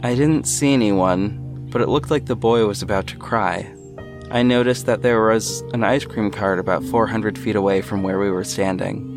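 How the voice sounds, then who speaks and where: A man acts an earnest hero telling his own story in an audio drama. The voice is on the low side, measured and reflective, with music clearly playing underneath. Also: lightly acted. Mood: attentive. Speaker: narrator and lead character of an audio drama. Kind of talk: first-person scripted narration.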